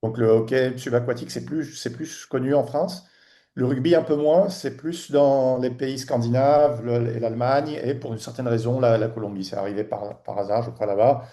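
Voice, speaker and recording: A man in his forties from Tunisia, a guest on a podcast, talking casually in a halting way with many restarts and fillers. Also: medium pitch, fairly even intonation, mellow. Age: 40s. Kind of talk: casual interview chat.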